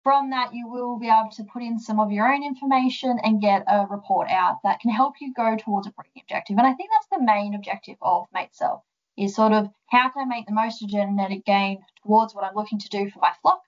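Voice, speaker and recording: Young Australian woman guest, chatty and explanatory, medium pitch, a bit muffled. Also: brisk. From central west New South Wales, Australia. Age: young adult. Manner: friendly, explanatory, with frequent ums. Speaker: guest, sheep genetics development officer. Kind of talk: casual interview answers.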